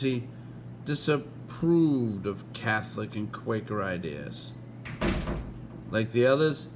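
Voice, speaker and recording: An American man reading aloud informally like a read-along host, halting at times and correcting himself as he goes. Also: mid-range voice, a little hiss or hum.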